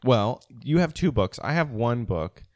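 A man hosting a relaxed chat, joking and teasing as he asks questions. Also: medium pitch, measured pace, dry recording, clean studio sound. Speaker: host. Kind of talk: casual chat.

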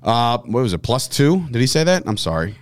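A man's voice: medium pitch, sing-song, a little fast. Laughing, rambling male podcast host. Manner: rambling, laughs often, profane. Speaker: sports betting show host. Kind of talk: casual podcast banter.

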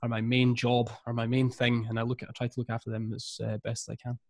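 Man, 30s, Scottish accent, energetic, upbeat, mid pitch, dry sound. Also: fast talker, no echo. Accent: Scottish, east coast. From Scotland. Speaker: guest, marketing coach. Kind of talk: podcast interview.

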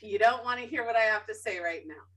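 A woman's voice: fairly high pitch, quick pace, recorded from a distance, crowd noise. A woman hosting a podcast in a casual, chatty way, opinionated and emphatic, with lots of ums and self-corrections. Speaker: podcast host.

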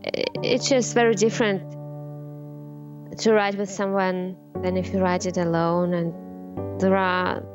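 A woman singer and co-writer answering in a casual interview, soft-spoken and careful, laughing easily. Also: fairly low pitch, little pitch movement, unhurried, pauses often, highs cut off, music in the background.

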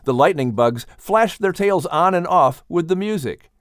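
A man's voice: high-pitched, lively intonation, measured pace, no room sound, clean studio sound. A man reading a children's animal story aloud as scripted audiobook narration.

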